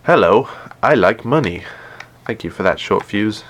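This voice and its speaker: A man with a deep voice chatting casually while playing a game live, often stumbling and repeating words. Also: expressive, measured pace, fairly bright tone. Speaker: gaming live streamer.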